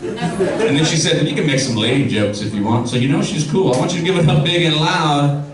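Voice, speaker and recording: A man's voice: a little high, a little fast. A man hosting a comedy show and introducing the next act with upbeat energy. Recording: echoey club, distant mic, room noise.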